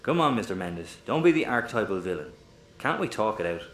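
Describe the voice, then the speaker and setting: Excitable, mouthy space rogue. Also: male voice, fairly low pitch, brisk. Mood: cheeky pleading. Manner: exasperated, cheeky, sweary. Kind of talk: sci-fi audio comedy acting. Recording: echoey room, faint background noise.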